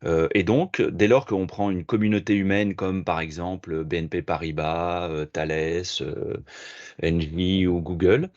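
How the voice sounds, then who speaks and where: A middle-aged French man being interviewed as a philosopher, talking in a measured, reflective way with frequent hesitations. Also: sits low, steady tone, darkish tone, no room sound, dull audio. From France. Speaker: podcast guest, philosopher and management consultant. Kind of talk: interview answers.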